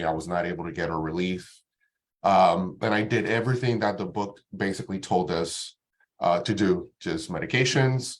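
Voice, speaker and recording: A man, a doctor giving a relaxed, informal talk to an online audience with many ums and restarts. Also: low voice. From Dominican Republic. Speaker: guest doctor presenting.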